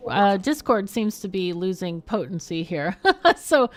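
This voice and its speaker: A woman's voice: medium pitch, animated, unhurried. A woman hosting a game livestream, chatting casually and frankly as she plays and talks with guests. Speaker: livestream host playing a game. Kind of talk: casual live game commentary and chat.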